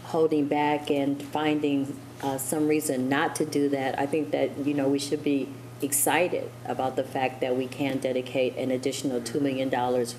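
A middle-aged woman alderman making measured, persuasive remarks at a city council meeting, seated at a dais with a desk microphone. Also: extremely low pitch, darkish tone, a little hiss or hum, low-quality recording.

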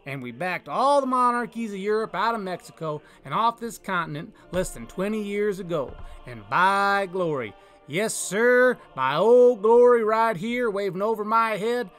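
Man acting out a loud folksy frontier orator, high-pitched. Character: folksy, patriotic frontier man orating to a crowd. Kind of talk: public speech in a storybook reading.

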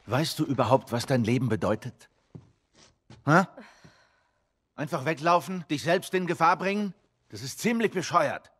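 Gruff middle-aged male game survivor, husky and exasperated, lively intonation, long room reverb. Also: on the high side, normal speed, pauses often. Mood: stern, angry. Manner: terse, insistent, easily exasperated. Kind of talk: dramatic video game acting.

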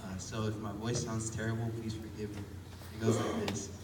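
A young man preaching a casual sermon in a small church, his voice hoarse from illness, recorded from the pews. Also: lowish voice, brisk, very noisy. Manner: relaxed and conversational, rising with emphasis. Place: small church hall with congregation. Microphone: phone recording from the pews. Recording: echoey church hall from far off.

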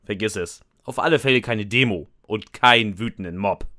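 A man with a fairly deep but bright, forward voice narrates a comic audio drama in the first person. He sounds sarcastic and resigned, recorded dry and close. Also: normal speed, no echo.

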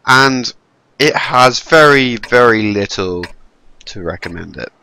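A man's voice: mid-range voice, expressive, slow, vocal fry, sharp tone, smooth, no echo, poor audio. A young man with a stuffy head cold giving rambling, wry commentary over a tank game. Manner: rambling, wry, self-deprecating. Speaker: gameplay commentator. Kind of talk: casual gameplay commentary. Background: game sounds, gunfire and engines.

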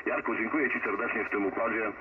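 A low-voiced man talks over a distant, very noisy radio transmission. The sound is thin and band-limited, with heavy static. He chats colloquially, hesitating and rambling. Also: fast talker, narrow, tinny audio. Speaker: amateur radio operator. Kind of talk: casual two-way radio chat.

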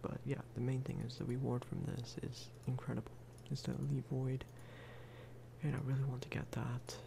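Casting: male ASMR gamer, hushed soft-spoken delivery, middle pitch, unhurried, noisy recording. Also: little pitch movement, vocal fry. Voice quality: soft, hushed voice, at times breathing into whisper. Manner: unhurried, low-key, musing aloud. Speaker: gaming video creator.

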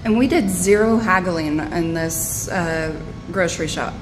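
A woman's voice: fairly low pitch, a little slow, over music, rough recording. A woman co-hosting a casual travel vlog, chatty and adding details, in an apartment room. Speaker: travel vlogger, co-host. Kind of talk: casual chat.

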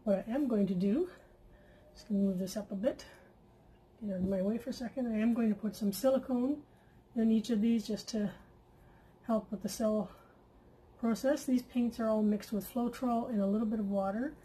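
A woman's voice: on the high side, moderate pace, long pauses, slightly rough, poor audio. A woman hosting a paint-pouring channel, chatting casually at home as she works at her craft table. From Canada. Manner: chatty and relaxed, with fillers and asides. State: feels she is losing her voice. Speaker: acrylic pouring art channel host. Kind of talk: casual tutorial chat while painting.

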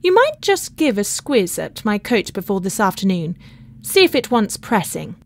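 A woman voicing a warm, boyish young man, a brisk and affectionate brother in a storybook. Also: medium pitch, lively intonation. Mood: breezy, fond. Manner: brisk, casual, slangy. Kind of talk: storybook character voice in an audiobook.

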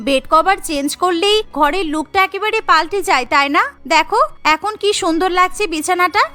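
A woman acting as a young cartoon housewife who is always busy with chores. Her voice is very high and bright. She talks in a fussy, insistent, chatty way over background music. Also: a little fast. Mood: busy, cheerful. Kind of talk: cartoon dialogue.

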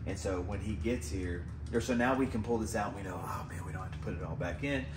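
A man in his thirties hosting a casual storage-unit vlog, chatty and excited, talking straight to viewers as he digs through boxes in a storage hallway. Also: sits low, fast talker, background noise. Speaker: storage unit treasure hunter host. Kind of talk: casual vlog unboxing chat. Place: indoor self-storage hallway.

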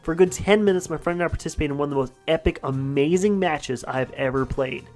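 A young man in his twenties reads a gaming countdown voice-over at a fast, eager clip. His voice sits a little high for a man. Faint game music plays beneath him. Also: a little mellow. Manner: enthusiastic, brisk reading. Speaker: gaming channel host.

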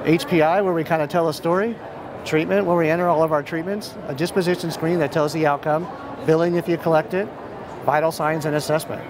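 A man's voice: a little high, steady tone, fairly quick. An American man in his thirties giving an informal product demo, explaining step by step in a matter-of-fact way. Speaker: software company representative giving a product demonstration. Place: large indoor expo hall. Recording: noisy busy trade show floor. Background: clear ambient hall noise.

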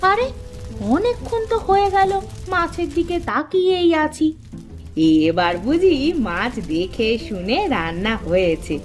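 Woman as simple-minded cartoon wife: very high voice, slow delivery, earnest and whiny, singsong phrasing, music underneath. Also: animated. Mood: flustered, earnest. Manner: singsong, drawn-out, plaintive exclamations. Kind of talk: cartoon voice acting.

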